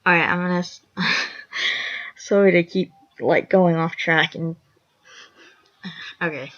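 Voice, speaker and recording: A young woman with a raspy voice, reading a horror fan story aloud, rambling and apologetic with chatty asides. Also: lowish voice, fairly even intonation, slow, fairly bright tone. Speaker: story reader. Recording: lo-fi dull recording.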